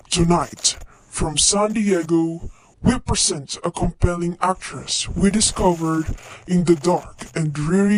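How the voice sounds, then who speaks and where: A man's voice: fairly high pitch, darkish tone, a little hiss or hum, poor audio. A man playing a sinister, irritable, pompous host who introduces an old-time radio thriller in a dramatic manner.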